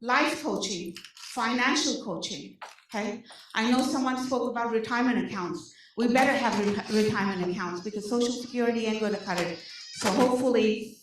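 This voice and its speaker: A woman with an Indian accent gives an animated talk to a room of service providers, full of rhetorical questions and frequent "okay?" check-ins. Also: a little high, brisk, slight background noise. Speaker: nonprofit program presenter. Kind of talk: energetic public talk to an audience. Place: large meeting room. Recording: echoey hall, speaker far from mic.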